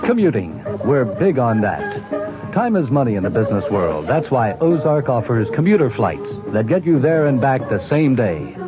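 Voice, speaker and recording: A man sells commuter flights in a brisk, upbeat radio spot, his pitch lively. Music plays under him, and the old broadcast sounds dull. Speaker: ad announcer. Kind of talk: 1970s radio commercial.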